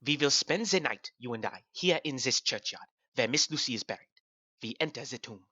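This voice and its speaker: An actor playing a grave, commanding older professor who hunts vampires, with solemn, urgent pronouncements in a radio drama. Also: male voice, medium pitch, expressive, fairly quick, no room sound, muffled recording. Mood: grave, persuasive. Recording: loud music under the voice.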